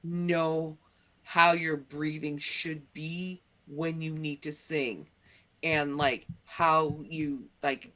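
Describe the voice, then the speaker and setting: A woman host who speaks slowly and deliberately in a low-pitched voice. Her tone is bright and sharp, and she keeps the chat going with short, agreeable replies. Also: fairly even intonation, rough recording. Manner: slow, agreeable, chimes in with short reactions. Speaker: podcast host and streamer. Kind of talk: casual chat.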